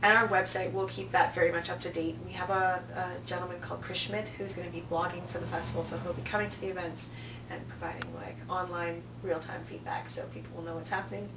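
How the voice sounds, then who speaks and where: A woman's voice: fairly low pitch, little pitch movement, fast. A woman talking conversationally as an interview guest, stressing key words as she promotes events. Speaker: interview guest, film festival programming director. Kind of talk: casual promotional interview talk. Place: indoor room, on-location video interview. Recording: roomy, distant mic, clear background noise.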